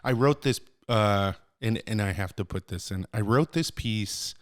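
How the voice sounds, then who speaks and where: A man's voice: on the low side, measured pace, no room sound, studio quality. An American man hosting a podcast, asking long, rambling questions with restarts. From United States. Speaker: podcast host. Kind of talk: interview questions.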